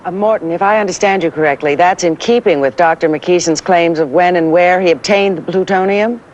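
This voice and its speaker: A woman's voice: lowish voice, fairly quick, darkish tone, lo-fi sound, a little hiss or hum, natural voice. A woman playing a poised television news co-anchor, speaking formally and questioning with care. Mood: poised, serious. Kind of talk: live news bulletin acting.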